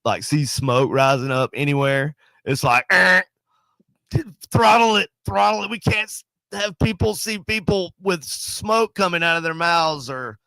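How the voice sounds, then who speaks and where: A man co-hosting a paranormal podcast, chatting casually with a nasal, congested voice from a sinus cold, rambling and joking and giving animated shout-outs to viewers. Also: fairly high pitch, a little slow, gravelly, no echo, low-quality recording. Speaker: podcast co-host. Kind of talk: casual chat.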